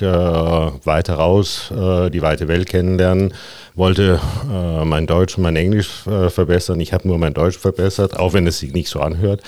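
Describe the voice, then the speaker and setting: Deep-voiced Danish man, halting. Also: no echo. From Denmark. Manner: relaxed, halting with many fillers. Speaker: guest, custom tailor. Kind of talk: casual interview talk.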